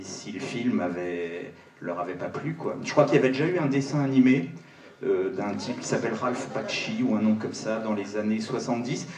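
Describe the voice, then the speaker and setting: Lowish, tentative man in a roomy hall. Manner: tentative, colloquial, self-doubting. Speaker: voice actor on a panel. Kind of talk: casual panel chat. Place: large cinema auditorium. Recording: echoey hall, distant mic, faint noise.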